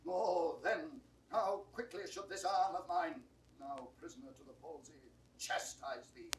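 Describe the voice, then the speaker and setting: An actor playing an indignant old English duke, scolding and declaiming with a British accent in a Shakespearean audio drama. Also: male voice, a little high, a little slow, close to an everyday voice. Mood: wistful, then angry. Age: older. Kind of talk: classical Shakespearean stage acting. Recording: roomy, distant, hissy 1960s recording.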